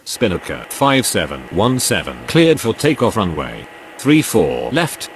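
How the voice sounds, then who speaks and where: A man playing a businesslike aviation radio operator, reading out scripted clearances and calls. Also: on the low side, huge pitch swings, unhurried, heavily acted. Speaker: air traffic radio voice. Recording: clear outdoor vehicle-like noise.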